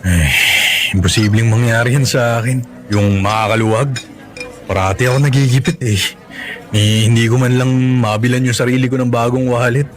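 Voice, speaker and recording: A man's voice: mid-range voice, steady tone, normal speed, faint music behind, lightly acted. An actor with a Filipino accent playing a cash-strapped, apologetic and devoted young father in a radio drama, with a self-effacing manner. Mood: sheepish.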